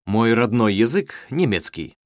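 A young man playing a polite student in a scripted textbook dialogue, reading slowly and clearly. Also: medium pitch, very animated, no room sound, studio quality. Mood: friendly. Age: young adult. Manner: slow, carefully clear reading. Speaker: dialogue actor in a listening test.

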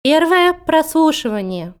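A woman announcing exam instructions in a formal, deliberate manner. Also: high-pitched, big pitch swings. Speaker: test announcer.

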